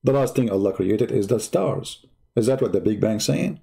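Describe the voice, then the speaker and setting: A man's voice: lowish voice, expressive, normal speed, gravelly, dark tone, husky. A man whose first language is Arabic, hosting a live stream, speaking in a combative, mocking way and repeating rhetorical questions. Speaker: online debate host. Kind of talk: heated live-stream commentary.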